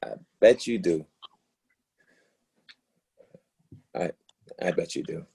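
A man acting a hurt, frustrated young boyfriend in a naturalistic play reading, halting and repeating himself. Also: deep voice, gravelly, warm tone, husky, full character voice. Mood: uneasy, withdrawn. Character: hurt, frustrated young boyfriend confronting his girlfriend about racism. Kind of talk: readers theatre play reading, naturalistic dialogue.

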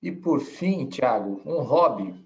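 A man's voice: medium pitch, animated, slow, a little mellow, poor audio. Man asking short interview questions. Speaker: podcast host.

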